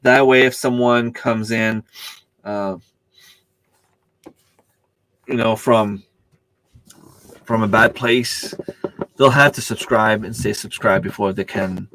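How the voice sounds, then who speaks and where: A man's voice: fairly low pitch, steady tone, takes it slowly, pauses often, fairly bright tone, a little hiss or hum, low-quality recording. A man whose first language is French, hosting a casual live stream. He searches for words, restarts his sentences and answers viewer comments as they come in. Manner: hesitant, searching for words with many fillers and restarts.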